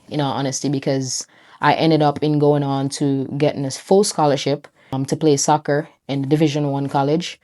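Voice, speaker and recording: A woman's voice: deep voice, warm tone. A young woman with a Jamaican accent, a brand founder chatting reflectively to camera, full of "you know" and "right?". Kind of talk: casual talk to camera.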